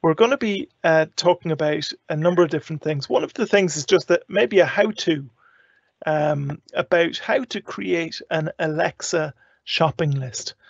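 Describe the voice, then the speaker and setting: A man with a fairly high, gravelly voice presents a live show. He talks in a chatty, rambling, informal way. The sound is close and dry but somewhat muffled. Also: moderate pace, no room sound. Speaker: host of a live tech show.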